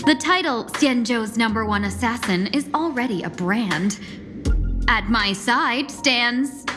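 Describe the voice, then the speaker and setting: An actress playing a sly young fox woman with a playful, theatrical, bluffing way of talking, in a game. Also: a little high. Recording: game audio with music. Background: game music.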